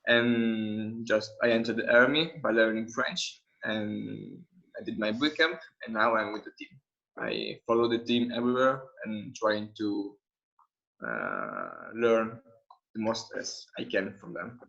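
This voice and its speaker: A man with an Italian accent speaks English as a second language, stopping now and then to search for words. His voice sits low. The call audio sounds dull and lo-fi. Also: lively intonation, measured pace, vocal fry, fairly bright tone. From Italy. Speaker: team member. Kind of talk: video call introduction. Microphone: video call.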